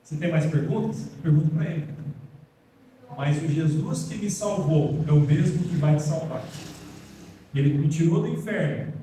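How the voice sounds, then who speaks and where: A man preaching in a big echoey church hall, heard from a distance off the microphone. His voice sits high for a man yet mellow in tone, and he talks plainly and earnestly to his listeners. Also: moderate pace, a little hiss or hum. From Brazil. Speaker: pastor. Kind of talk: sermon.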